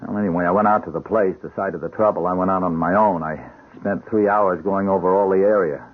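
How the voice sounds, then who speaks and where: A guilty, earnest cop in a radio drama, halting and apologetic. The voice is deep and a bit rough, on a boxy, narrow-band recording. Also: male voice, fairly even intonation. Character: uneasy, apologetic policeman, guilty loyal buddy.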